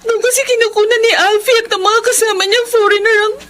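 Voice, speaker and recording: A woman's voice: extremely high pitch, fairly even intonation, fast talker, fairly bright tone. A woman playing an ashamed but protective older cousin in a Filipino radio drama, speaking with anguished emphasis. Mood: ashamed, distressed.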